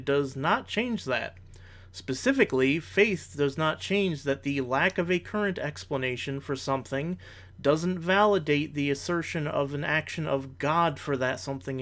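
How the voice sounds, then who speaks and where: Higher-pitched man giving analytical rebuttal commentary. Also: a bit bright. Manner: analytical, bluntly critical, formal wording. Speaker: commentator, video host.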